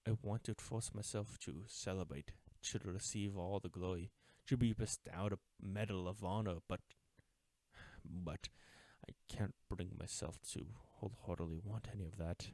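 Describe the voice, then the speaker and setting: An actor playing an uptight government agent in an audio roleplay, speaking formally and deliberately, smug yet mourning a lost friend. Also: male voice, low-pitched, fairly even intonation, dark tone, dry recording. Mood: conflicted, mournful. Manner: formal, deliberate, taunting with wistful undertones. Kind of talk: scripted audio roleplay drama, addressing the listener.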